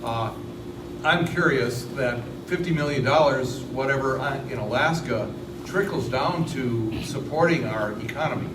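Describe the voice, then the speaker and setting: A man in the audience asking a question, halting and thinking aloud. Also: medium pitch, far from the mic. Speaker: audience member. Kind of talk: question from the floor. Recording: echoey room heard from afar with noise.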